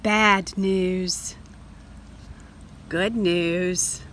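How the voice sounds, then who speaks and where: A woman reading picture books aloud to young children, explaining directly to her listeners with expressive delivery. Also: lowish voice, extremely slow, slight background noise. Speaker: storybook reader for children. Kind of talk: read-aloud picture book narration.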